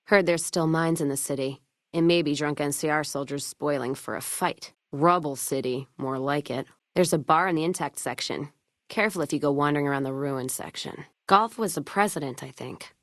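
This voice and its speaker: Game companion role, played by a woman: tough American wasteland woman, low register, dry sound, gruff and sardonic, foul-mouthed drinker with folksy slang. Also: moderate pace, no echo, close to an everyday voice. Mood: wry, wary. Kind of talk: video game companion voice lines.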